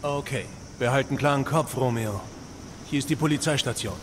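A man playing a level-headed, no-nonsense soldier in a horror audio drama, brisk and matter-of-fact. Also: mid-range voice, in a large hall, noisy background, close to an everyday voice. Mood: teasing, brisk. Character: no-nonsense male soldier partner, teasing but level-headed. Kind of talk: dramatic audio drama acting of a video game story.

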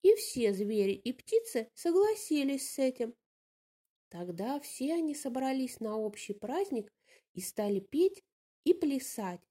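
A woman with a slightly husky voice, telling a fairy tale aloud as an expressive storyteller. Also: high voice, a little slow.

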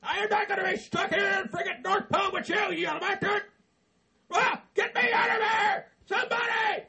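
A man playing a cranky, foul-mouthed old hillbilly who yells and laughs as he rants. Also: extremely high pitch, fairly even intonation, a little fast, some chatter behind, full character voice. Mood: panicked yelling. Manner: foul-mouthed, yelling, laughing while ranting.

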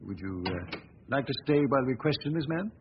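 An actor playing a hesitant, flustered police chief who keeps saying "uh" and clearing his throat, in 1940s radio acting. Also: medium pitch, expressive, fairly quick, slight background noise, lightly acted. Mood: courteous. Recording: dull lo-fi 1940s radio recording.

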